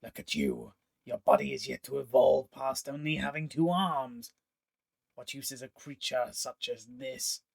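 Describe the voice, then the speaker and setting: A man's voice: medium pitch, huge pitch swings, unhurried, vocal fry, slightly rough, full character voice. A man voicing a jealous, cruel four-armed male Vedalken hunter who gloats and then begs, in an audiobook reading. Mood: scornful. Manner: scornful, self-pitying, pleading when threatened.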